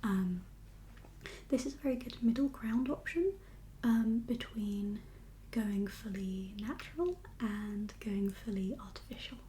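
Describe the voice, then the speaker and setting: A woman whispering an ASMR medical roleplay as a kindly doctor, gentle and reassuring in an unhurried, professional way. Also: mid-range voice, slight background noise. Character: kindly doctor for undead patients. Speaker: ASMR performer playing a doctor.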